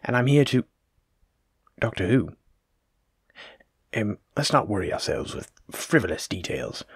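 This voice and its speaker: A plague doctor, acted out by a man speaks in a lowish voice, close and dry. He is formal, polite and a little self-important, choosing old-fashioned words in a fussy, evasive way, as in an audio roleplay addressed straight to the listener. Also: gravelly, breathy edge, dry recording, exaggerated voice. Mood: polite, insistent.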